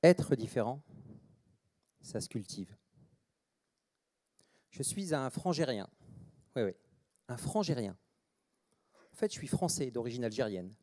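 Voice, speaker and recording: A man from France speaks from a conference stage in a mellow, mid-pitched voice. He is deliberate and stresses his words dramatically, with long pauses between phrases. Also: sing-song. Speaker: mindset trainer and speaker. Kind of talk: short inspirational stage talk.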